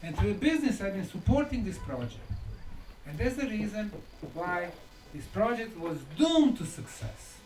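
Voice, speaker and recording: A man's voice: high voice, big pitch swings, normal speed, darkish tone, slight background noise. A man in his sixties with a Bosnian accent, giving an emphatic conference lecture in short declarative phrases. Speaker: lecturer and researcher. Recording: echoey hall from far off, music behind.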